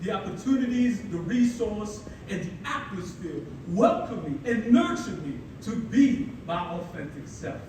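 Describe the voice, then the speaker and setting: A young man from the Philippines, a native Tagalog speaker, delivers a playful, energetic keynote with a fairly high voice. The sound carries heavy hall echo, is picked up from some distance, and has clear room noise behind it. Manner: energetic, playful storytelling with dramatic pauses. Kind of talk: ceremonial keynote speech. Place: large hall at a ceremony. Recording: echoey hall, mic far off, audible room noise.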